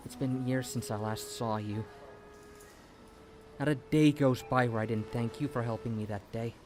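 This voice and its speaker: A man's voice: mid-range voice, fairly even intonation, normal speed, darkish tone, slight background noise, close to an everyday voice. A man playing a shy, earnest young former student, full of gratitude, in a dramatic audio story reading.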